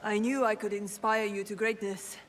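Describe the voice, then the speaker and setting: A woman's voice: mid-range voice, little pitch movement, moderate pace, a little hiss or hum, lightly acted. Woman acting a proud, wry noblewoman warrior. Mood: wry, confident. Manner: wry, self-assured. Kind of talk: dramatic video game dialogue. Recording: music playing behind the voice.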